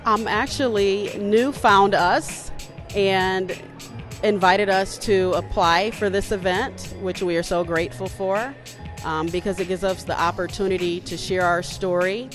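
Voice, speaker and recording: A woman, a nonprofit project director, giving a measured, grateful testimonial. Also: medium pitch, fairly even intonation, faint music behind. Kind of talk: short testimonial interview.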